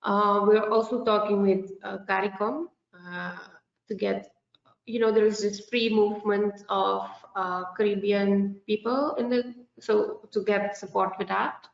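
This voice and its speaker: A woman from Suriname, a native Dutch speaker, answering questions in an informal online meeting in short, halting explanatory phrases. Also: mid-range voice, fairly even intonation, creaky. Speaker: festival representative answering questions. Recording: echoey room, muffled online-call audio.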